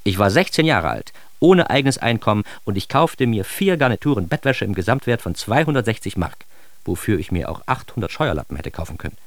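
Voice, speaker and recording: A man's voice: lowish voice, big pitch swings, a little fast, no room sound. An actor playing a grown son narrating his embarrassed adolescence in a radio drama, with an ironic, mock-formal, theatrically indignant delivery. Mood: ironic, self-mocking.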